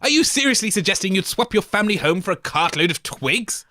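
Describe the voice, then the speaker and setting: Man, comedy radio co-host, blunt and insulting, lively bright voice. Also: sits high, fairly quick, dry recording, clean studio sound.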